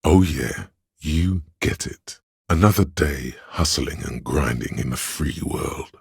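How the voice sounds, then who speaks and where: A man voicing a detective's pretentious, arty inner voice for a video game, theatrical and deliberate, with a gravelly, husky tone. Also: a bass voice, animated, no echo, clean studio sound, full character voice. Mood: wry, reflective. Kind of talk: video game narration, second-person commentary.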